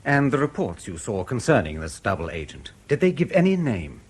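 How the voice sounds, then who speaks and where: An actor in a radio drama playing a skeptical intelligence interrogator, formal, dry and probing. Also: male voice, on the low side, wildly swooping pitch, fairly quick, breathy edge, exaggerated voice. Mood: questioning.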